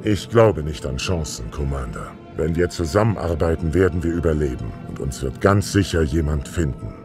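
Deep, calm alien general. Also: male voice, moderate pace, music in the background. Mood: calm, resolute. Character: calm, wise alien master general, steadfast.

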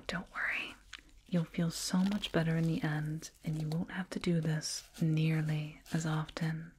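Woman acting as female alien dentist, ASMR roleplay, breathy whisper, lowish pitch, very slow, soothing, condescending, repetitive, close binaural mic. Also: darkish tone. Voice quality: breathy, mostly unvoiced whisper. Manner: slow, soothing, repeats words rhythmically. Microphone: close binaural microphone.

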